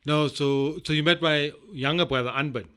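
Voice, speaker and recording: Bright-voiced South African man, halting. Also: on the high side, clean tone. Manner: rambling, halting, many fillers. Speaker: guest. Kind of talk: casual interview chat.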